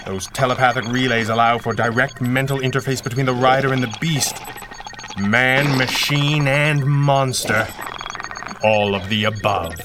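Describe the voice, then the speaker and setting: An actor playing a cool-headed 1930s masked superhero in radio serial style, speaking in a measured, articulate and wry way. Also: male voice, medium pitch, natural voice. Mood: grave, determined. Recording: clearly noisy background ambience.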